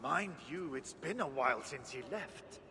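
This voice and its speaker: A man voicing a gruff, nonchalant dwarf artisan in a video game, colloquial and blunt. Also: a little high, expressive, measured pace, sharp tone, long reverb tail, a little hiss or hum, full character voice. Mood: offhand. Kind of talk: video game character acting.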